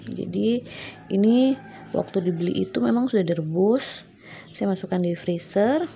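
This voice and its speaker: A woman from Papua, casually demonstrating a recipe in her home kitchen, friendly and explanatory with frequent hesitant pauses. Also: medium pitch, animated, gravelly, mellow, muffled recording, a little hiss or hum. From Papua, Indonesia. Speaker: cooking vlogger.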